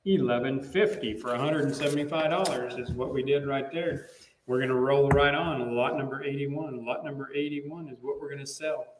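American auctioneer chanting in a roomy hall. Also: male voice, medium pitch, fairly bright tone. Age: middle-aged. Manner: repetitive rhythmic bid-calling with filler phrases. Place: large indoor auction room. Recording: roomy, picked up at a distance.

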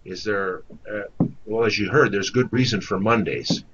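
A man moderating an informal work conference call in a halting, tentative way. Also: on the low side, fairly bright tone. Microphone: conference call microphone. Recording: muffled, noisy conference-call audio.

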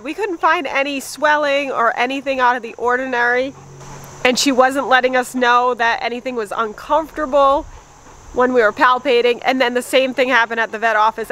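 A woman's voice: high voice, pushed and bright, low-quality recording. Chatty young woman vlogging outdoors on a farm. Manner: chatty, light laughs, baby-talks to her dogs. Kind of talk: casual vlog narration. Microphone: phone at arm's length.

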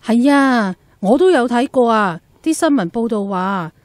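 A woman's voice: on the high side, expressive, measured pace, no room sound. An actress playing a righteous, complaining female teahouse customer with a Hong Kong accent in a radio drama. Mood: indignant.

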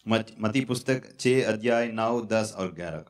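A man in his thirties preaching a sermon on a church stage into a handheld mic, halting and repetitive. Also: on the low side. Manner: halting, repetitive, fillers and restarts. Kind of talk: sermon with prayer. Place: church stage in front of curtains.

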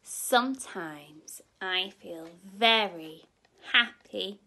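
Young female nursery teacher for story time: gentle, expressive delivery to little ones, very unhurried, with noticeable vocal fry. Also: mid-range voice. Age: young adult. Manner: expressive, gently addressing small children. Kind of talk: children's story reading. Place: indoor room at home.

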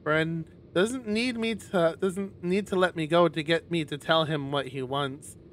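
A man reading a visual novel aloud on a stream in an expressive narrating style. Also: a little high, unhurried, slight background noise.

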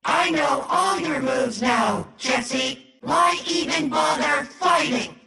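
An actress voicing a cold, menacing machine hive mind in a video game, deliberate and detached, with echoing robotic processing. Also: female voice, high voice, vocal fry, raised voice, heavily acted. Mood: cold, threatening. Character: cold female machine hive mind speaking through captive. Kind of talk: video game villain dialogue. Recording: heavy echo, faint music behind.

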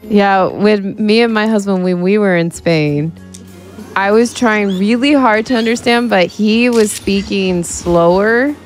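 A woman's voice: medium pitch, unhurried, soft background music. A woman chatting casually as a commentator, rambling along with lots of filler words. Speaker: speedrun commentator. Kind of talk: casual chat.

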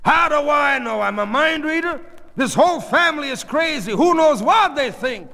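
A man's voice: very high-pitched, lively intonation, normal speed, vocal fry, pushed and bright, in a large hall, pitched above the performer's own voice, heavily acted. A man reading a story, voicing an exasperated, defensive middle-aged husband who blusters and argues indignantly. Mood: indignant, bellowing.

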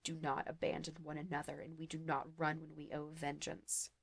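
A woman's voice: low voice, monotone, very slow, gravelly, a little mellow, slightly rough, no echo, full character voice. A woman voicing a wounded young male thief who spits and hisses his defiance. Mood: defiant. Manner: spitting, hissing defiance.

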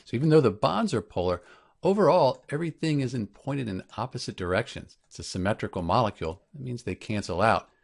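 A man's voice: mid-range voice, animated, brisk, vocal fry. A man narrating an explanatory science tutorial as a teacher, taking the listener through it step by step. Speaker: chemistry teacher, presenter.

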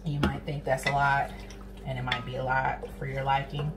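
A young woman with a low voice and a drawl talks viewers through a recipe in her home kitchen, folksy and chatty. The camera sits a little off from her, and a frying pan sizzles clearly throughout. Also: level tone, normal speed, noisy background. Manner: chatty, folksy, calls viewers honey and sis. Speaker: cooking vlogger. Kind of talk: casual cooking demo. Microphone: camera nearby, speaker off to the side. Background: sizzling pan and stirring.

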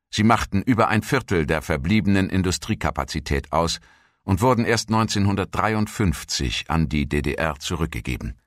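A man with a low voice delivers scripted documentary narration. He reads formally and in measured phrases. The sound is dry and close, with hardly any room around it. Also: a bit bright, dry recording.

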